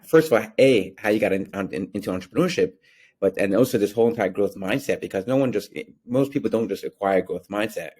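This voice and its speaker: A man's voice: fairly low pitch, a little mellow, slightly rough. A man in his thirties hosting a podcast over a video call, asking eager questions and rephrasing as he goes. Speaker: podcast host. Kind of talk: casual interview chat.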